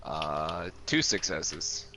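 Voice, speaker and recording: A man's voice: rumbling low, drawn-out words, bright, forceful tone, a little hiss or hum. A man talking casually as a player in a tabletop role-playing game.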